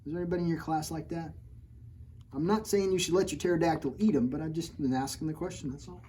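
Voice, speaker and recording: A man's voice: a little high, quick pace, dark tone, slight background noise. A man reading his own picture book aloud to children at storytime, joking with them and putting on mock outrage at his own story. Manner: playful, jokey, mock-outraged, talking straight to children. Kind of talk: storytime read-aloud with playful asides to kids.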